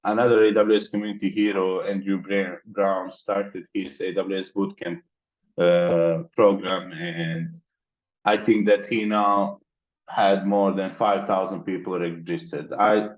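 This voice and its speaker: A man's voice: deep voice, flat delivery, a little slow, highs cut off. A man from the Balkans, a guest in an interview, explaining his plans methodically in long lists. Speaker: interview guest, mentorship organizer. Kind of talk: conversational interview.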